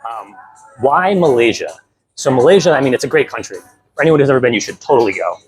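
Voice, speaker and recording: A young American man, a guest talking about his move abroad in a lively, upbeat way with lots of fillers. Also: medium pitch, fast talker, crowd noise. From United States. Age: young adult. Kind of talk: casual interview.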